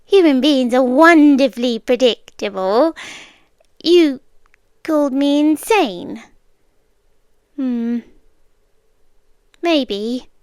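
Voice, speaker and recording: British yandere girlfriend played by a woman: high, unhurried, coy and possessive, dry close ASMR roleplay. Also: expressive, smooth, dry recording, heavily acted. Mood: calm, calculating. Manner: coy, cooing, with soft giggles and whispery dips. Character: obsessive yandere girlfriend, sweetly menacing kidnapper.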